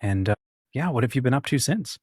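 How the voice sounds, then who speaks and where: A man's voice: medium pitch, animated, brisk, a little mellow, breathy edge, no room sound, clean studio sound. A man hosting a casual interview, informal and encouraging as he prompts his guest. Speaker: interview host.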